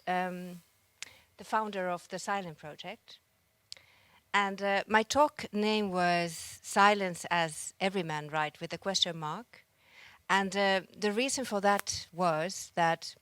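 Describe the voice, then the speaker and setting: A woman's voice: lowish voice, fairly bright tone, clean tone, no room sound. A woman from Finland with a Finnish accent, an artist giving a reflective conference talk and often searching for words. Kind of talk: conference presentation. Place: conference hall stage.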